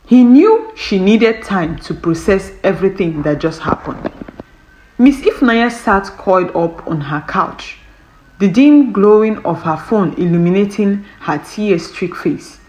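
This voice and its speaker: A woman storyteller reads romantic drama with a lively, swooping melody. She takes her time over each phrase, in a measured and expressive scripted delivery at a medium pitch. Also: a little hiss or hum, rough recording. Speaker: storyteller narrator.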